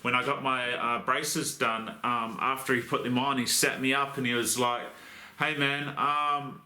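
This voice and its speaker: A man's voice: medium pitch, little pitch movement, unhurried, bright, forceful tone, reverberant. A young Australian man with a lisp from new braces, hosting a casual how-to vlog about crane rigging, joking and swearing in a blokey, rambling way. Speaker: crane rigger and channel host.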